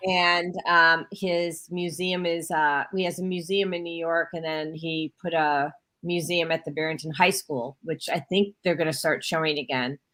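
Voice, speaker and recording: A woman's voice: sits low, fairly even intonation, moderate pace, few pauses, fairly bright tone. A woman hosting a talk radio show, chatting casually with her guest and rambling with frequent restarts. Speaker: host.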